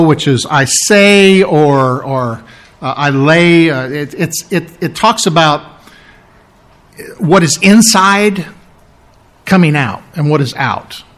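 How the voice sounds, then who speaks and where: A middle-aged man preaching a teaching sermon, explaining scripture with asides and humor and slowing down to stress key phrases. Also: a little high, a bit bright. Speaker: pastor.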